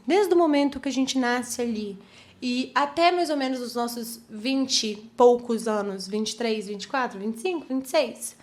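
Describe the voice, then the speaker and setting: Chatty young Brazilian woman, high voice. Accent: Brazilian Portuguese. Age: 20s. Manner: chatty, animated, many fillers and restarts. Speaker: podcast host. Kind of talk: reflective solo podcast talk.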